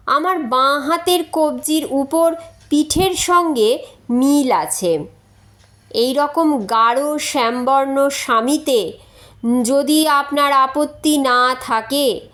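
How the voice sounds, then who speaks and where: A woman's voice: high voice, a bit bright, exaggerated voice. A woman reading aloud as an earnest, formal young man writing a polite letter in a story.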